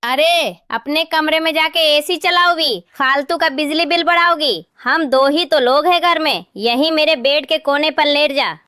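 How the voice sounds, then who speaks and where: A woman's voice: high-pitched, a little slow, few pauses, sharp tone, dry recording, studio quality. A woman voicing a domineering mother-in-law who orders her daughter-in-law around in a cartoon story. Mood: scolding. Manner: domineering, scolding. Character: bossy middle-aged mother-in-law.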